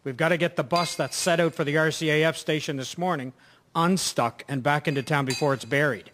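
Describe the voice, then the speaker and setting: A no-nonsense municipal garage foreman, played by a man in a radio drama. His voice sits a little high, practical and matter-of-fact. Faint clinks of dishes sound behind. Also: fairly quick, fairly bright tone, slight background noise, natural voice. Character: male municipal garage foreman managing plows.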